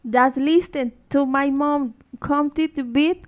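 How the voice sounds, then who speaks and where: A woman's voice: high voice, steady tone, takes it slowly. A woman playing a dutiful young daughter who speaks reassuringly in a radio drama. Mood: soothing.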